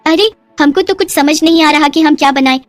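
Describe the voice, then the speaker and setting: A woman acts out a cheerful young bride in a moral-story cartoon. Her voice is very high, and she mangles her grammar in a slow sing-song over faint music. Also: no room sound, natural voice. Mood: hungry, excited. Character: foreign young bride, cheerful, clueless, speaking broken Hindi.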